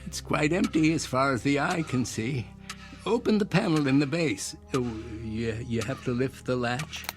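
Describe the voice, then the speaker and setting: An elderly gentleman inventor played by a man in a radio play, with a lively, roaming pitch. He muses formally and cryptically, coaxing his listener with measured courtesy, over faint music. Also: natural voice. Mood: courteous, intriguing. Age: older. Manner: measured, formal, musing, persuasive. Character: eccentric old inventor, courteous, cryptic, philosophical stranger. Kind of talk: audio drama acting.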